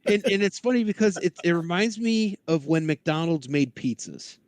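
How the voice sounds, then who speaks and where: A man's voice: a little high, moderate pace, a little mellow, no echo. Enthusiastic geeky man chatting, dry sound. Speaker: podcast guest. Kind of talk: casual chat about cartoons.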